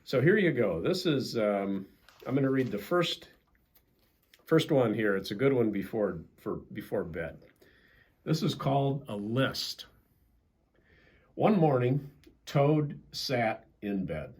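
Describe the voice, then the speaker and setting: Older American man, animated, husky storyteller. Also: medium pitch, slow pace, long pauses. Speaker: pastor reading a children's story. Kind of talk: children's storybook narration with casual intro.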